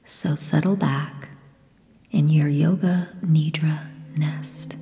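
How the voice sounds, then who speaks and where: A woman with a soft, breathy, whispery voice leads a guided meditation in short, gentle phrases with long pauses. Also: low-pitched, steady tone. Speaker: yoga nidra guide. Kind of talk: guided meditation, slow and soothing. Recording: long reverb, soft music behind. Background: sound bath music.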